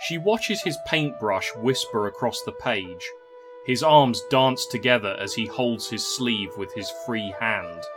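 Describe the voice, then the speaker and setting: A man reading a scripted fantasy story in a measured, literary storytelling manner. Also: medium pitch, lively intonation. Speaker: narrator. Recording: music clearly under the voice. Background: music.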